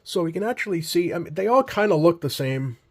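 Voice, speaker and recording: A man hosting a hobby video with casual, unscripted commentary, talking in a halting, rambling way and often correcting himself. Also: a little high, lively intonation. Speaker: host of a radio hobby video.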